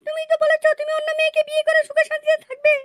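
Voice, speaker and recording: A woman voicing an aggrieved young village wife in an amateur comedy cartoon, squeaky and scolding in an indignant, complaining way. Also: completely flat delivery, racing. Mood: resentful refusal. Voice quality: squeaky, artificially high-pitched.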